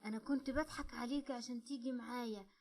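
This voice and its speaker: A woman's voice: a little high, steady tone, fast, darkish tone, close to an everyday voice. A woman voicing a sly, wicked male dinosaur kidnapper with an Egyptian accent, menacing in tone. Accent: Egyptian Arabic.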